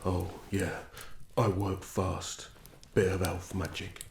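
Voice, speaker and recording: A man's voice: deep voice, level tone, slow. A man playing a polite, proud elf royal servant and tailor in a fantasy ASMR roleplay, courteous and assured. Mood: pleased, assured. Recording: echoey room, noticeable background noise.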